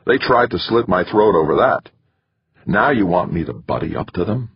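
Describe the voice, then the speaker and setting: A big, stubborn senate candidate, as a male narrator plays him, speaking low and quietly intense. His deep voice is husky and a little rough. The recording sounds dull and lo-fi. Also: animated, moderate pace, exaggerated voice.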